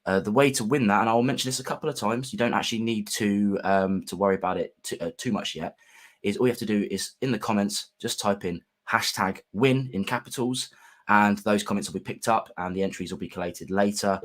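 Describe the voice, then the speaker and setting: Gravelly-voiced man hosting a livestream. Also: lowish voice, a little fast. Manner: friendly, many ums and restarts. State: gravelly sore throat. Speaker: host of whisky livestream. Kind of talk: casual livestream chat.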